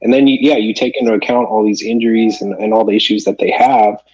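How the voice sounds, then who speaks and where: A man with a husky voice talking casually about sports as a fan panelist. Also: fairly low pitch, fairly even intonation, quick pace, darkish tone, dull audio.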